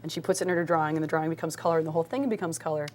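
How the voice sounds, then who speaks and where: Interview guest: American woman, slightly low voice, chatty and explanatory, some hum and noise on the recording. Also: fairly even intonation, rapid-fire, darkish tone. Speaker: interview guest, co-director of a local film group. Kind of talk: casual explanatory interview talk. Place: live local TV studio.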